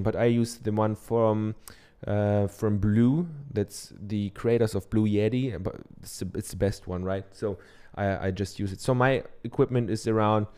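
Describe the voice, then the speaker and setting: German-accented man, dark smooth voice. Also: on the low side. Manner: loose and chatty, many ums and tag questions. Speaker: podcaster. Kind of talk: casual how-to talk. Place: treated home office. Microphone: dynamic studio microphone.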